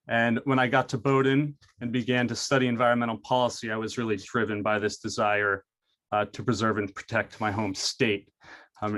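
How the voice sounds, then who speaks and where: A man's voice: lowish voice, fairly bright tone. A young man from Maine, a college student giving an earnest prepared presentation, with frequent fillers and restarts. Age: young adult.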